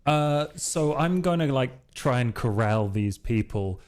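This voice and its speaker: A man's voice: mid-range voice, animated, unhurried, a little mellow. A man casually describing his character's actions as a player in a tabletop game.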